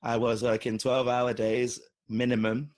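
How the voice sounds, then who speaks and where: A tired British man with a husky voice, co-hosting a podcast in casual, teasing chat. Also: medium pitch, flat delivery, moderate pace, darkish tone, dry recording. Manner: teasing, playful. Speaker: podcast co-host.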